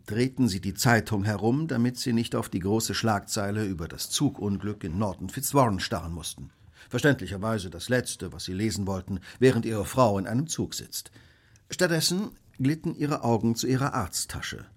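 A man's voice: fairly low pitch, lively intonation, moderate pace, slightly rough. An actor playing a brilliant, smug Victorian detective in a German radio play, precise and lecturing in his delivery. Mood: smug, explanatory. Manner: precise, self-assured, lecturing.

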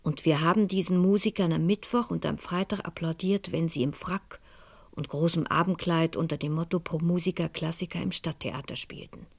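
An actress playing a grave, anxious middle-aged lady in a radio play, speaking in a measured, formal and brooding way. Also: lowish voice, fairly even intonation, vocal fry, no echo. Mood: reflective. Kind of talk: 1970s German radio play acting, part narrated monologue.

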